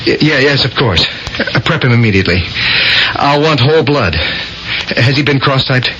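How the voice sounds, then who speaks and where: A man's voice: medium pitch, animated, lightly acted. Man playing determined young surgeon, dull noisy recording. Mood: urgent, decisive. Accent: American. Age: young adult. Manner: earnest, deliberate. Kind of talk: radio drama acting. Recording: dull, noisy old radio broadcast.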